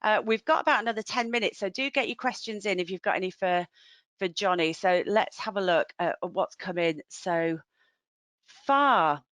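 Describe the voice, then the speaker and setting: A woman's voice: mid-range voice, moderate pace, a bit bright, no room sound. A woman with a Yorkshire accent hosts a webinar over a video call, friendly and upbeat as she moves the session along. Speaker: webinar host. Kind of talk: hosting a webinar.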